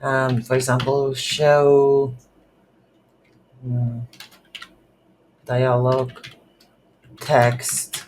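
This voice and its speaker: Slow, halting coding tutor with background noise. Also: medium pitch, little pitch movement, darkish tone. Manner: halting, thinking aloud while typing. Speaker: game development tutorial instructor. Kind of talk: unscripted coding tutorial narration. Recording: noisy room with keyboard typing.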